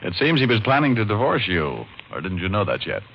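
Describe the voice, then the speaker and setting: An actor playing a wry, wisecracking hard-boiled private detective in a 1940s radio noir, dry and deadpan. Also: male voice, on the low side, brisk, husky, heavily acted. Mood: sardonic. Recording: muffled old radio recording, music behind.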